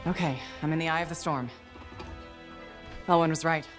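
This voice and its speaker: A woman's voice: sits low, fairly even intonation, normal speed, close to an everyday voice. A woman playing a bold, determined pilot hero in a cinematic video game. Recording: loud music behind.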